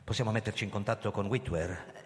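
A man's voice: fairly low pitch, steady tone, fast, lightly acted. An actor playing a desperate hunted man who narrates his own story theatrically in a radio thriller. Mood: hushed, grim. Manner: intense, dramatic, emphatic repetitions. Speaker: actor, lead character and narrator. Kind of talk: theatrical first-person radio drama, narration and dialogue. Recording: long reverberant hall sound.

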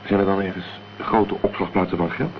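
A man's voice: deep voice, steady tone, fairly quick, close to an everyday voice. A man acting a cool, determined amateur investigator in a Dutch radio crime drama, speaking tersely and matter-of-factly. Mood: analytical. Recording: dull, noisy old radio play recording.

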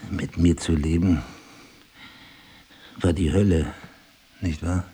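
Amnesiac husband, low voice, very husky, sardonic, musing, radio drama. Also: male voice, steady tone, slow, warm tone, exaggerated voice. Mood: musing, unsure. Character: wry amnesiac husband, a crime novelist, disoriented. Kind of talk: German radio play acting.

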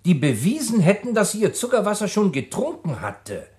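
A sceptical public prosecutor in a German radio thriller. His voice sits a little high and moves expressively up and down, while his questioning stays formal, composed and drily ironic. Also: sing-song, a little mellow. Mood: sceptical, amused. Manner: formal, measured questioning with dry irony. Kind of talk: German radio crime drama acting.

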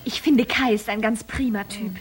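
A woman's voice: a little high, fairly even intonation, normal speed, over loud music, close to an everyday voice. A woman playing a naive, earnest shuttle newcomer in a science fiction radio play. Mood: eager. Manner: earnest, enthusiastic. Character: naive, eager female rookie on space shuttle.

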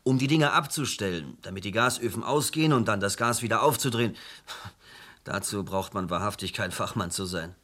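A man's voice: lowish voice, fairly quick, sharp tone. An actor playing a blunt man who insists that his sister did not take her own life, in a radio drama. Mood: defensive. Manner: curt, insistent. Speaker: brother of the dead woman.

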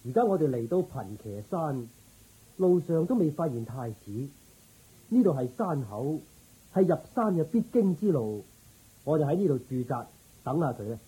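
An actor playing a dutiful, inquisitive military officer in a radio drama, with a musing way of talking. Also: male voice, fairly high pitch, big pitch swings, moderate pace, mellow, husky, exaggerated voice, low-quality recording. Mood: businesslike. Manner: musing, questioning.

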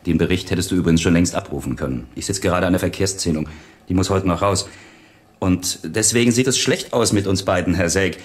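An actor playing a roguish, clever computer technician in a radio play, talking in a wry, teasing, chatty way. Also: male voice, low-pitched, a little fast. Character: roguish, clever male computer-centre technician, playful tinkerer.

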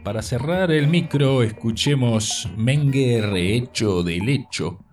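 A man's voice: medium pitch, expressive, takes it slowly, darkish tone, distant mic. A man reading a scripted, formal and literary radio narration. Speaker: presenter of a radio arts segment. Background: music.

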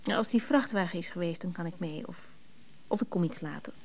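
A woman's voice: fairly low pitch, brisk. An actress playing an earnest, admiring woman in a radio drama, with a natural, slightly hesitant delivery. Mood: evasive.

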